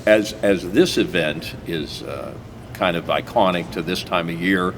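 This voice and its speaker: A man's voice: low voice, unhurried. A man hosting a local TV interview, talking in a rambling way with many fillers and restarts. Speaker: talk show host. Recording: noisy recording.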